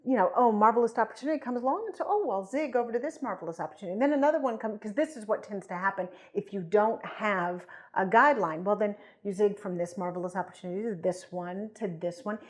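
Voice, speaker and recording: A woman's voice: mid-range voice, animated, fast, few pauses. Woman podcast co-host, conversational and emphatic. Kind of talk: conversational explanation with hypothetical examples.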